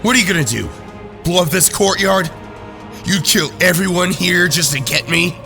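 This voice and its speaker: An actor playing an arrogant, sneering teenage villain in an audio drama, scornful and theatrical. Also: male voice, high voice, moderate pace, gravelly, bright, forceful tone, soft background music, full character voice. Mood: taunting.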